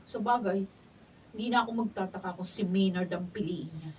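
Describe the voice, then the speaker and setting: An actress playing a resentful adult daughter, sharp-tongued and gossiping, in a radio drama. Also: female voice, medium pitch, normal speed, slightly rough, far from the mic, muffled recording.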